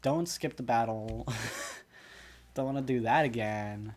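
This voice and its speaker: Man, stuffy nose, casual tournament commentator, rambling, dark mellow tone. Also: mid-range voice, slow pace. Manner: rambling, full of ums and restarts. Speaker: streamer commentating a game tournament.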